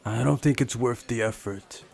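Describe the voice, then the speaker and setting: A man's voice: mid-range voice, normal speed, vocal fry, a little mellow. A man voicing an ordinary, skeptical young man, casual and dry, the hero of a visual novel. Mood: reluctant.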